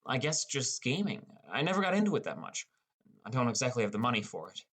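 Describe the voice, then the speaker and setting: A man's voice: mid-range voice, very animated, fast talker, fairly bright tone. A man playing a wry, inexperienced teenage boy who narrates his own game adventure in an audiobook. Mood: sheepish. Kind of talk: first-person audiobook narration with character dialogue.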